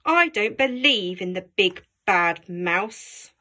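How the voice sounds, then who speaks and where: A woman voicing a small, brave, curious young monster girl in a children's storybook, exclaiming excitably. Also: mid-range voice, takes it slowly. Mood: doubting.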